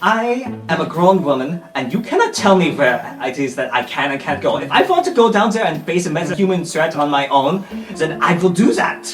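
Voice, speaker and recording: A man's voice: a little high, very animated, brisk, hardly any pauses, voice pushed up. A man voicing a grown woman schoolteacher, defiant and insistent as the character argues with authority. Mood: indignant, defiant. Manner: insistent, emphatic, clipped protests. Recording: roomy echo, music underneath.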